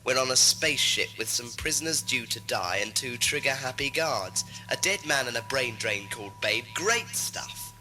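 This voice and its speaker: Man playing bright, sarcastic young companion. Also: mid-range voice, expressive, normal speed, few pauses, faint music behind, rough recording. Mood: sarcastic, exasperated. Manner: sarcastic, questioning. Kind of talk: science fiction audio drama acting.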